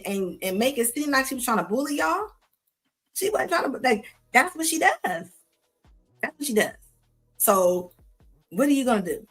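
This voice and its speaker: Sassy, scolding woman with a high voice. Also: fairly bright tone. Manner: sassy, scolding, repeats herself, casual slang. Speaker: host reacting to clips. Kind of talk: live commentary on videos.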